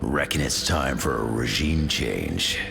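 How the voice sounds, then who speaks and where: A man playing a gruff, battle-hardened loner brawler in a video game, with a gravelly growl. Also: very deep voice, unhurried, full character voice. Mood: gruff, menacing. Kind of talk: video game character voice line. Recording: music behind the voice.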